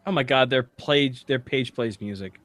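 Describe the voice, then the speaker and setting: A man's voice: mid-range voice, normal speed, a bit bright. A man chatting and bantering casually as a podcast co-host.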